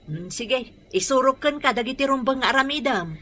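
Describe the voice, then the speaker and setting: A brisk, firm woman who runs a shop in an Ilocano radio drama. Her voice is high and very bright, with a lively rise and fall. She speaks with an Ilocano accent, emphatic and expressive. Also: exaggerated voice. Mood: friendly, practical.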